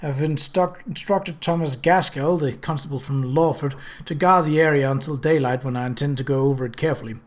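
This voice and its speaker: A man's voice: fairly high pitch, brisk, few pauses, natural voice. Man playing a level-headed, methodical policeman. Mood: measured. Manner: even, methodical, matter-of-fact. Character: level-headed, vigilant male police officer.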